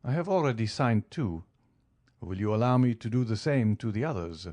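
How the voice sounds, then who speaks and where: A man's voice: lowish voice, lively intonation, moderate pace, a little mellow, natural voice. A man voicing a proud middle-aged banker who speaks formally and boastfully. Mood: proud, pleased. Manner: formal, boastful, then stammering.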